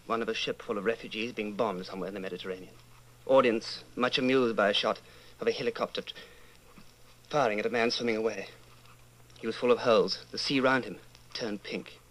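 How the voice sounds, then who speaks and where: A troubled middle-aged man played by an actor speaks his thoughts in a medium-pitched voice. He is halting, intense and fearful, in old-time radio drama style. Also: fairly bright tone, lightly acted, rough recording. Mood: anxious. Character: brooding, fearful 39-year-old man, secret rebel.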